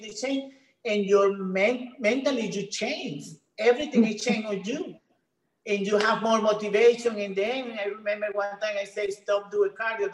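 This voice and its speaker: A woman from Venezuela with a Venezuelan Spanish accent, a gym trainer talking as an interview guest in halting English with many restarts. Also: mid-range voice, roomy sound, poor audio. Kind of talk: casual interview.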